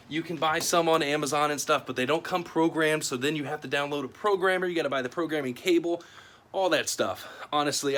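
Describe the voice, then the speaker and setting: A man's voice: on the high side, a bit bright. A man hosting a casual product review to camera in an informal, plain-spoken, conversational way. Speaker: video host.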